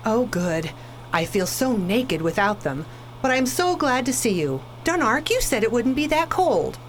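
A woman's voice: a little high, sing-song, measured pace, a little hiss or hum, low-quality recording. A woman playing a fierce, blunt, proud alien warrior wife in a space opera radio drama. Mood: relieved.

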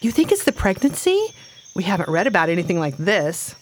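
A woman playing an anxious, bewildered pregnant young wife in a radio drama, expressive and flustered. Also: lowish voice, fairly quick. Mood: puzzled, uneasy. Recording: faint background noise.

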